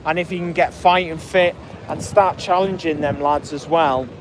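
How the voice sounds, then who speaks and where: A man hosting a casual post-match podcast, chatting and reading out listener comments. His voice is hoarse and cracking, and he talks in a rambling way, full of fillers and restarts. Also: a little high, fairly even intonation, normal speed, slight background noise, rough recording. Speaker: podcast host.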